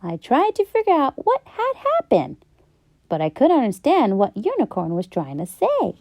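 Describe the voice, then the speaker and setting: A woman narrating a children's story in an expressive, theatrical and emphatic storytime style. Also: high-pitched, no room sound. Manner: theatrical, emphatic, drawn-out delivery. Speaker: children's storybook read-aloud host. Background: background music.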